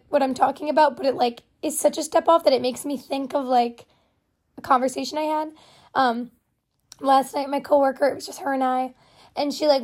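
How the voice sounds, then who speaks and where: A young woman with a naturally hoarse, raspy voice, hosting a casual solo podcast and rambling chattily with lots of fillers. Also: high-pitched, a little mellow. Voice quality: hoarse, raspy voice from vocal cord nodules. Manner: rambling, chatty, self-deprecating with many fillers. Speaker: podcast host.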